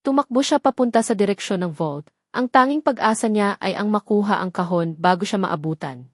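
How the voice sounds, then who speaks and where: A woman's voice: mid-range voice, fairly quick, no room sound, studio quality. A woman with a Filipino accent reading a scripted dramatic story as a storyteller.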